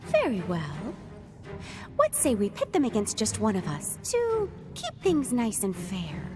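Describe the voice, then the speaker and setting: A woman's voice: medium pitch, wildly swooping pitch, a little slow. An actress playing a playful, teasing female summoned spirit with a lilting voice. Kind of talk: video game voice acting. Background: game music.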